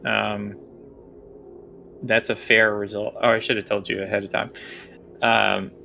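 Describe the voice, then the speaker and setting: A man narrating a tabletop game as game master, improvising scene descriptions in a halting way. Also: on the low side, little pitch movement, vocal fry, pushed and bright, a little hiss or hum.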